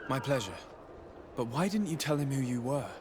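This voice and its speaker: An actor playing a calm, authoritative young nobleman and diplomat in a video game. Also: mid-range voice, normal speed, close to an everyday voice. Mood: friendly. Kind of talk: video game cutscene acting. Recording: clear background ambience noise.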